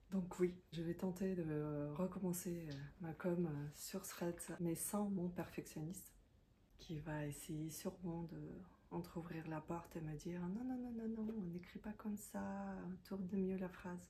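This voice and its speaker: Warm lowish woman, second-language speaker, thinking out loud with lots of ums. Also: slight background noise. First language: not French. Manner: hesitant, many fillers and restarts; thoughtful and confiding. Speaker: content creator, self-employed. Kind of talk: reflective talking-head monologue.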